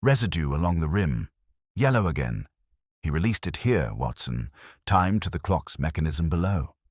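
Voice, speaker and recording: A man performs a precise, commanding detective of an audio mystery. The voice is very low and lively in its movement, with clipped, deliberate phrasing. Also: slightly rough, no echo, clean studio sound, full character voice.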